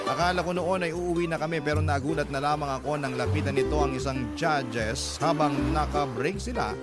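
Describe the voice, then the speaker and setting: A man with a Filipino accent narrating a listener's story as a radio drama host, in steady scripted storytelling. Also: mid-range voice. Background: guitar music.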